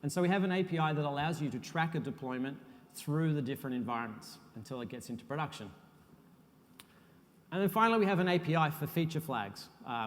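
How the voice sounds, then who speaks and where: A man presenting a technical talk to a conference audience. His voice sits a little high for a man, and it carries a long reverb from the hall. He speaks in a relaxed, self-deprecating way, with frequent uhs. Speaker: conference speaker, software architect.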